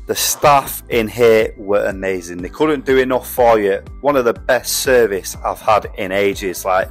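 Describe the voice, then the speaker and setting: Enthusiastic man reviewing food. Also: mid-range voice. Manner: chatty, blunt, slangy and enthusiastic. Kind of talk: food vlog voice-over and on-camera chat. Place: restaurant interior.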